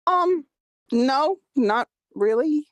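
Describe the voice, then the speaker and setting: A woman's voice: high-pitched, animated, extremely slow, no room sound. A woman screenwriter chatting casually about her own script in a hesitant, self-critical way.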